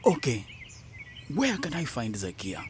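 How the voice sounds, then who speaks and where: A man's voice: mid-range voice, wildly swooping pitch, unhurried, a little mellow, slight background noise. A man playing a polite, friendly village health worker in a radio drama, speaking courteously and formally. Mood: polite, inquiring.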